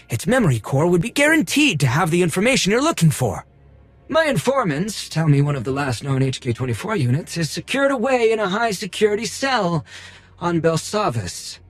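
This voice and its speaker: A fussy male droid collector, high in pitch and swooping dramatically from note to note. He is pompous and easily flustered, with the theatrical delivery of a video game cutscene. The recording is dry and close, over background music. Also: dry recording.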